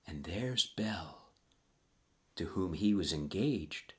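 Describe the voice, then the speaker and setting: Slow, very deep-voiced male storyteller. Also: animated, fairly bright tone. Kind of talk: theatrical scripted narration in rhyming verse.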